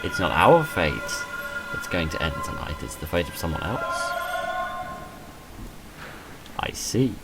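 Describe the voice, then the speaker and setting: A man's voice: deep voice, big pitch swings, normal speed, over loud music, poor audio. A man reading visual novel text aloud dramatically, with dry, understated wry commentary in between. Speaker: gamer recording a playthrough.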